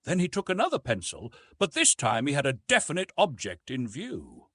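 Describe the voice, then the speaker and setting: Animated male storyteller with husky edge. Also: medium pitch, moderate pace, no echo. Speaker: audiobook narrator. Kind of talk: scripted literary narration.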